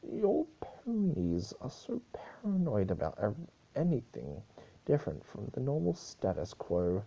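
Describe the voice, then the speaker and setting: A man's voice: mid-range voice, huge pitch swings, a little slow, vocal fry, dark tone, slightly rough, muffled recording, full character voice. A man voicing a flippant, mischievous male chaos creature with a teasing, blunt manner. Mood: blunt, wry.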